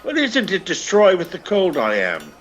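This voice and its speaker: An actor playing a shabby, sharp-tongued old Irish beggar in a radio play, with a mocking, flowery, theatrical manner. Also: male voice, high voice, huge pitch swings, moderate pace, a little hiss or hum, full character voice. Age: older. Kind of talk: radio play acting of rural Irish dialect.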